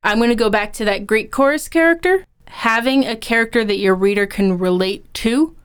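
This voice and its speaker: A woman's voice: a little high, moderate pace, clear, resonant tone. A woman co-hosting a podcast on writing, explaining her opinions conversationally as an author and instructor. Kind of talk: conversational craft discussion.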